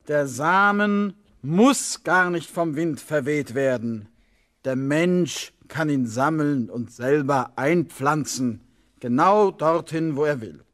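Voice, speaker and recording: An actor playing an absent-minded, rambling schoolteacher in a children's radio play, halting as he lectures. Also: fairly high pitch, animated, fairly bright tone, dry recording, clean studio sound. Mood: distracted, lecturing. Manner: halting, lecturing, loses his thread. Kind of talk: 1970s German children's radio play acting.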